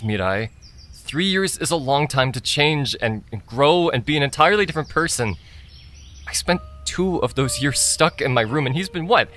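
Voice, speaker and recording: A young man's bright, forward voice at a middling pitch acted by a man, with faint music behind it. He is a troubled, self-doubting eldest brother in an audio drama, hesitant and defensive.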